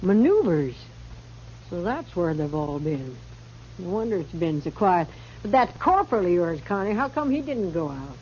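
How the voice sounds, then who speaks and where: A woman's voice: lowish voice, big pitch swings, normal speed, a little mellow. A woman playing a worldly, teasing middle-aged saloon keeper, folksy and bossy, in a 1950s western radio drama. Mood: nosy, wry. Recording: noisy, muffled old radio broadcast.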